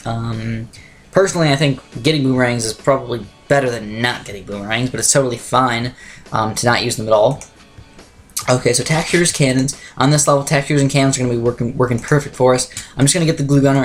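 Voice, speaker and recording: A young man with a slightly raspy voice, talking quickly through game strategy as a let's play commentator. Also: mid-range voice. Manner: fast, chatty, self-correcting strategy talk. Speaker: gameplay commentator.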